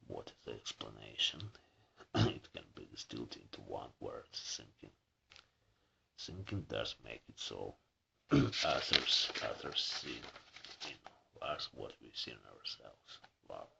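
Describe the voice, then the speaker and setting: A husky-voiced man who keeps clearing his throat, reading a book aloud haltingly for his video channel and stumbling over words. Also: extremely low pitch, animated, muffled recording, background noise. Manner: halting reading with restarts and slips. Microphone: camera mic some distance away.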